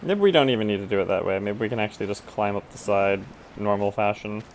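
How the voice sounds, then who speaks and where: A man's voice: lowish voice, quick pace. A man streaming a video game with casual, wry, grumbling commentary.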